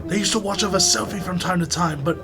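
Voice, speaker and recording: Actor as a higher-voiced, weary British workman. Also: fast talker, gravelly, over music. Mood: urgent, pleading. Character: weary, determined British maintenance man. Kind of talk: radio drama acting.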